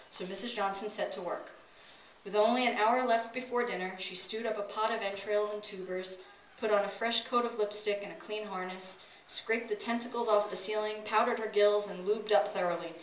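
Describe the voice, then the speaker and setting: A woman doing a live comic story reading in a storybook narrating manner, recorded from the audience in an open-sided wooden pavilion. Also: mid-range voice. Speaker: author reading own work aloud. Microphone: distant audience camera. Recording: echoey pavilion, distant noisy camera audio.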